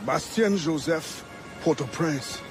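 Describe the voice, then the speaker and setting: A man playing a principled assassin and former pirate captain in a video game, with a grave, formal, deliberate manner. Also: on the high side, music in the background. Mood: grave, resolute. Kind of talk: dramatic video game acting.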